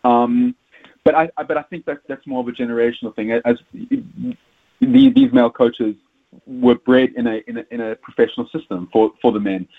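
A South African man discusses rugby over a tinny, phone-like line. He talks in halting bursts, repeating words and dropping fillers as he thinks aloud. His pitch sits in an ordinary middle range. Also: sing-song, gravelly, breathy edge. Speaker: rugby pundit guest. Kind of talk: casual interview chat.

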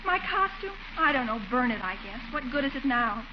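A teenage girl in an old radio comedy, very high-voiced, earnest and dramatically plaintive. The recording is dull, with clear noise behind her. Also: very animated, brisk. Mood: despairing. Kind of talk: 1940s radio comedy acting.

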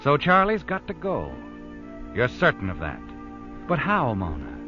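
A sly male narrator, taunts the listener in swooping, insinuating phrases. Music plays clearly behind his muffled, old-time radio mystery delivery. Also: mid-range voice, wildly swooping pitch, a little slow. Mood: sly, taunting. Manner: insinuating second-person address, rhetorical questions. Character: sly, taunting narrator voicing a schemer's thoughts. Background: dramatic music.

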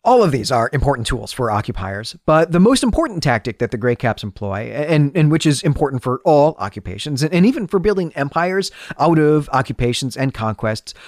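A man's voice: medium pitch, very animated, brisk, hardly any pauses, darkish tone, no echo, clean studio sound. A man hosting a podcast, delivering an analytical, explanatory monologue in the style of literary commentary. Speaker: podcast host.

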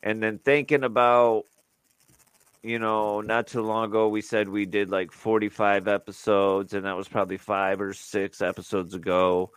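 A man with a lowish voice co-hosts a casual podcast. He rambles, restarting sentences and filling gaps with "um" and "uh". The sound is dry and close, with no room to it. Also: flat delivery, normal speed, clean tone, no echo. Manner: rambling, lots of fillers and restarts. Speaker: podcast co-host. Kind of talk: casual chat.

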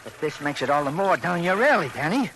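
A chatty, deferential male city cop from old radio crime drama. The voice is high for a man, the phrasing colloquial and streetwise. Clear background noise runs through the aged recording. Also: big pitch swings, a little fast, fairly bright tone, low-quality recording. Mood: subdued. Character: folksy, talkative, deferential male city police sidekick.

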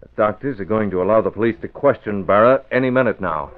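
Actor as deep-voiced, level-headed investigator. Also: male voice, fast, natural voice. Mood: determined. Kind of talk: 1940s radio acting. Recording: narrow lo-fi old radio recording.

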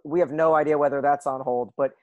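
A man's voice: a little high, fairly even intonation, fast talker, no room sound, dull audio. A man from the United States co-hosting a casual chat, talking eagerly and trailing off in search of names. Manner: chatty, enthusiastic about TV shows.

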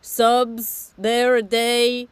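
A woman's voice: on the high side, flat delivery, drawn-out words, smooth, dry recording. A woman playing a brash, indignant man with a thick Italian-American accent in an improvised comedy sketch.

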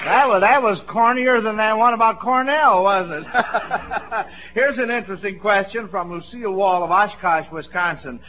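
An American man hosting a 1940s radio quiz show, folksy and encouraging, with chuckles in his talk. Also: high-pitched. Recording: dull vintage radio broadcast recording.

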